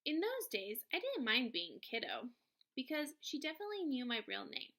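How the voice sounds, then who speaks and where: A woman reads a children's novel aloud in a high voice. Her delivery is very lively and emphatic, with pitch that moves freely as she tells the story. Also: normal speed. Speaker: read-aloud reader, teacher.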